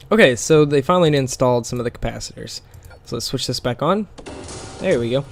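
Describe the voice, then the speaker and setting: A man with a gravelly, tired, scratchy voice explains a game as he plays, rambling with lots of fillers. His pitch is mid-range for a man, and a faint hiss sits under the recording. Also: lively intonation, brisk. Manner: rambling, with many fillers and small laughs. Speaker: gameplay commentator. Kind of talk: casual game commentary.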